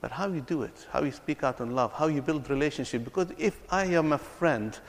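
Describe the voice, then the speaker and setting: A man's voice: a little high, fairly quick. Man, reconciliation speaker, earnest and repetitive storyteller, reverberant hall sound. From Palestine. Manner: earnest storytelling, often repeats words. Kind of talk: speech to an audience.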